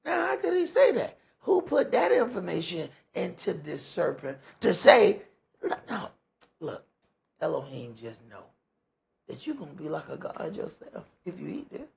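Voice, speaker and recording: Male Bible teacher, heavy vocal fry and rough husky tone, emphatic, folksy sermon delivery. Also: high-pitched, wildly swooping pitch, unhurried. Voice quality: gravelly, husky. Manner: emphatic, rhetorical questions, folksy phrasing. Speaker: preacher leading a Bible study. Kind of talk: conversational sermon with scripture commentary.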